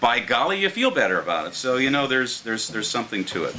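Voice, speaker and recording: An older American man reading his poetry live at a microphone, in a measured, earnest way. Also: mid-range voice, sing-song, sharp tone. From Martins Ferry, Ohio, United States. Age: older, around 60. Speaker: poet reading his own work. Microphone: microphone on a stand. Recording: clear background hiss.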